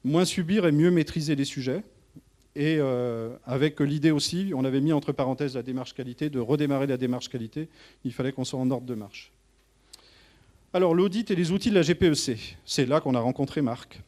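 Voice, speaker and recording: A man giving an informal professional presentation to colleagues as a manager, speaking in a halting way with frequent false starts. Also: mid-range voice, a little mellow. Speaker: tourist office manager presenting.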